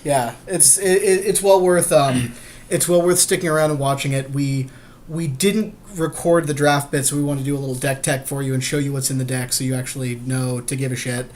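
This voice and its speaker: A man's voice: mid-range voice, steady tone, brisk, darkish tone, a little hiss or hum. A man co-hosting a podcast in casual, unscripted chat, candid and prone to swearing. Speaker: podcast host.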